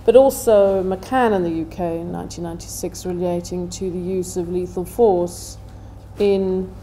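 A middle-aged woman delivering a prepared seminar talk as a panelist, with measured, deliberate phrasing. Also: fairly low pitch, steady tone, mellow, a little hiss or hum, poor audio. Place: indoor seminar room, seated at table.

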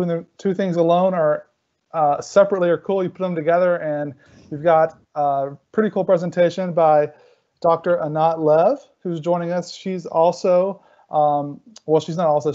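Mellow-voiced man hosting a video call. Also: a little high, muffled recording. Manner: chatty, self-correcting. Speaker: event host. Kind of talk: casual introduction. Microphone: laptop webcam video call.

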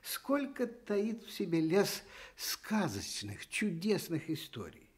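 A man's voice: high voice, takes it slowly, full character voice. An actor playing a kindly storyteller on a Soviet children's radio show, speaking lyrically and expressively to his young listeners. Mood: lyrical wonder. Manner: expressive, lyrical, addresses child as dear friend. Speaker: storyteller host.

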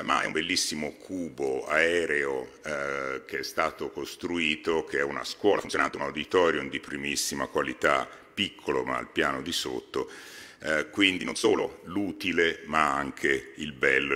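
Very deep-voiced man speaking in a hall. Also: sharp tone. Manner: halting, frequent hesitations. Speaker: foundation president. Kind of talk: formal speech at a podium. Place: large exhibition hall stage. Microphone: podium microphone. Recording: reverberant hall.

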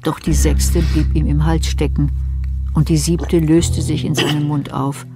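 A man reads scripted narration for an audio drama. His delivery is measured and literary, a storyteller's voice, with plucked guitar playing clearly behind him. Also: on the high side, little pitch movement. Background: plucked guitar music.

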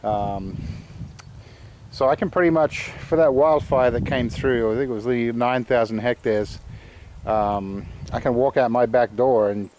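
A man's voice: medium pitch, a little slow, a little hiss or hum. Rambling Australian man outdoors. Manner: rambling, relaxed, many fillers and restarts. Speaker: channel host. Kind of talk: casual walking tour vlog. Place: outdoors in rural woodland.

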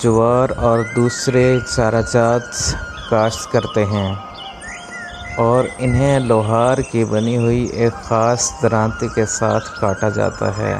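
A man talks slowly and evenly, his low voice rough with fry. He narrates in a measured, informative way. Loud music plays under the voice-over. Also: level tone. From Pakistan. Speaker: vlogger. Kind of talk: voice-over narration of a village vlog.

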